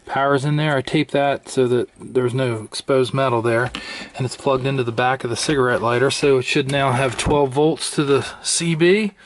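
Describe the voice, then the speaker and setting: A man's voice: mid-range voice, fairly bright tone. A man giving casual, matter-of-fact hands-on narration, thinking aloud as he works inside a car. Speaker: vlogger installing a car CB radio. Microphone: handheld camera microphone. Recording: clear background noise, clicks.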